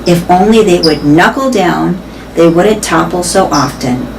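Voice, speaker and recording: A woman voicing a thoughtful, gentle male gorilla who speaks in a reflective, dry, plain way. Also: on the low side, unhurried, vocal fry, far from the mic, noisy background.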